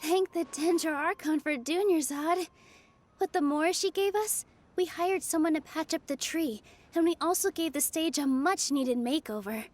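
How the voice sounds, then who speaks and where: A woman voicing a cheerful, earnest young female dancer in a video game, expressive and eager. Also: sits high, normal speed, soft background music, natural voice. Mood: earnest, concerned. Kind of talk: video game character acting.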